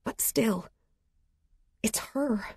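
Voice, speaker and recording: Female audiobook narrator for literary fiction: slow, measured and expressive, slightly breathy-rough tone, very dry recording. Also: on the high side, dry recording. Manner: measured, expressive dramatic reading. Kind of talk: scripted literary fiction narration, present tense. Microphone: close studio microphone.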